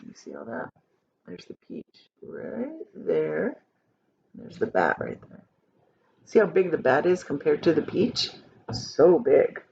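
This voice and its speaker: Female storybook reader, teacherly and deliberate, very animated pitch, slightly dull recording. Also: creaky, a little hiss or hum. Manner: deliberate, dramatic, shows pictures to listeners. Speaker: teacher reading a book aloud. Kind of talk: expressive children's story reading.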